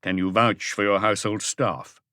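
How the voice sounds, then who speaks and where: A male narrator plays a patient, methodical detective in an audiobook mystery. The voice is on the low side, formal and deliberate, with a probing firmness. It sounds dry and close. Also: steady tone, sharp tone, no echo. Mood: measured, firm. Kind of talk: audiobook character dialogue.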